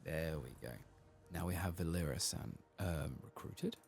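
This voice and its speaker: A man speaking softly and often whispering in a hushed, murmuring way as he hosts an ASMR game playthrough. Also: low-pitched, a little slow, vocal fry. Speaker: host of a gameplay ASMR series. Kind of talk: soft-spoken and whispered ASMR game playthrough, reading quest text aloud.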